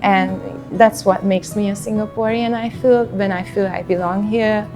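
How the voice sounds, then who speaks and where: A woman in her thirties speaks with a Hungarian accent at a medium pitch. She sounds reflective and takes her time, as if in a portrait interview. Light music plays behind her voice. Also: fairly even intonation, moderate pace. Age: 30s. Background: background music.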